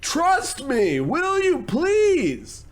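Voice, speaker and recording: Here is a man acting as a pushy male show-business producer from an old-style radio comedy. The voice sits high and swings widely in pitch. He sweet-talks and belittles in the same breath, smug and bossy. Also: huge pitch swings, extremely slow, exaggerated voice. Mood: mocking. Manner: smooth-talking, condescending, gushing endearments. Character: brash, smarmy, domineering male TV producer.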